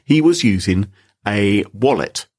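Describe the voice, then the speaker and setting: A man's voice: lowish voice, expressive, unhurried, darkish tone, breathy edge. A British man co-hosting a podcast, telling a story in a theatrical, teasing way. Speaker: podcast co-host.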